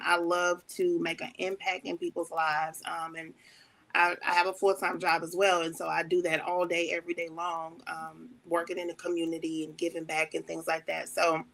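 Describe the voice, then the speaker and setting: A woman from Chicago, a guest on a talk show, telling her story in a relaxed way with lots of "um"s. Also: lowish voice, gravelly, poor audio. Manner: warm storytelling with many fillers. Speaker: wedding planner and ordained elder, guest. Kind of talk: casual interview talk.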